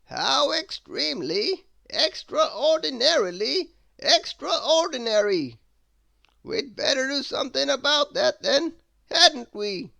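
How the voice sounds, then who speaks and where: Wise old storybook wizard, played by a narrator: theatrical, bright, very animated delivery. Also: sits high, vocal fry, no echo, voice pushed up, heavily acted. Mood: amazed, booming. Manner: theatrical, drawn-out exclamations. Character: wise old male wizard, grandly exclaiming, kindly. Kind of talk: storybook read-aloud character voice.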